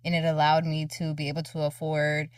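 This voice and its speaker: A woman's voice: low-pitched, completely flat delivery, a little fast, dry recording, studio quality, far from the mic. A woman from North Carolina, born in Ethiopia, chatting informally in short phrases as an interview guest. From born in Ethiopia, grew up in North Carolina. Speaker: interview guest, behind-the-scenes creative. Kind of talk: casual chat.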